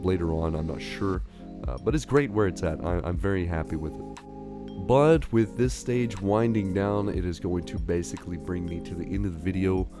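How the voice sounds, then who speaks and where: A man giving casual, rambling gameplay commentary as a voice-over, his voice nasal and a little hoarse from a recent illness, with frequent fillers. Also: low voice. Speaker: gaming channel host. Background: guitar music.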